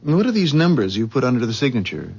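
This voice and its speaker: A man's voice: medium pitch, big pitch swings, fast, gravelly, a little mellow, breathy edge, heavily acted. An actor playing an ordinary, astonished man who questions everything incredulously, in 1970s radio drama style. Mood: puzzled, probing. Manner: incredulous, inquisitive. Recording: dull 1970s radio recording, faint music.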